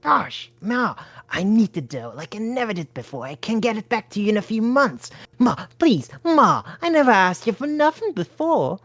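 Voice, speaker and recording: A woman's voice: mid-range voice, big pitch swings, moderate pace, hardly any pauses, dry recording, pitched above the performer's own voice. A woman voicing a brash, broke male hustler who talks in a pushy, wheedling, slangy way.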